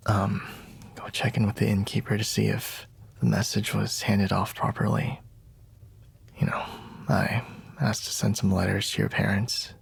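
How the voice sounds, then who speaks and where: A man playing a flustered, secretly tender young bodyguard in an intimate ASMR roleplay, speaking haltingly in a husky, breathy near-whisper. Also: lowish voice, heavily acted. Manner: hesitant, halting, grudgingly soft, frequent sighs.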